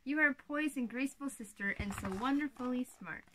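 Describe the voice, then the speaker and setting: A woman's voice: high-pitched, a little fast, bright, forceful tone, slight background noise, low-quality recording. A young woman playing an exuberant little-sister princess in a children's storybook read-aloud, playful and theatrical. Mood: fond. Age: young adult.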